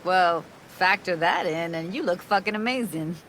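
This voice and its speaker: A self-assured young female tinkerer with a lowish voice. She talks in teasing, casual slang, in natural game-drama style. Watery ambient noise runs clearly behind her. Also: animated, normal speed, fairly bright tone, low-quality recording. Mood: upbeat, casual. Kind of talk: naturalistic video game acting.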